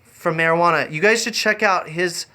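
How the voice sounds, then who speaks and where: A man's voice: high-pitched, normal speed, sharp tone, smooth. A man hosting a casual improvised livestream, rambling and easily distracted, often repeating his phrases as he goes. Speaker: live-streaming host.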